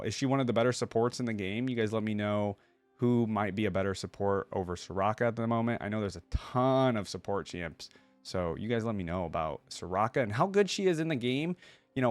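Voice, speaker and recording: A man's voice: medium pitch, brisk, clear, resonant tone, no room sound, soft background music. A man hosting a reaction video, chatting casually and thinking aloud as he gives his commentary.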